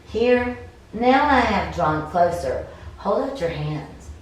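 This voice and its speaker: A woman's voice: medium pitch, animated, slow pace, slight background noise. A woman voicing an encouraging female adventurer from a story game, explaining and coaching. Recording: very echoey room, distant mic.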